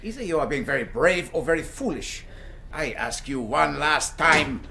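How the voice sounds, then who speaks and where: A coldly polite German naval captain with clipped, formal speech. His voice is a touch high and swings widely in pitch. There is a faint background hiss. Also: expressive, normal speed, gravelly. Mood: stern. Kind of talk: radio drama acting.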